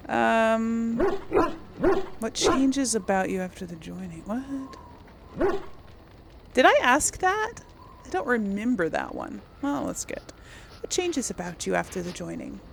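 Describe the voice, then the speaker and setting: A woman's voice: fairly high pitch, very animated, measured pace, vocal fry, pauses often, very noisy. A woman hosting a casual game role play, musing and thinking aloud. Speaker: gamer, series host.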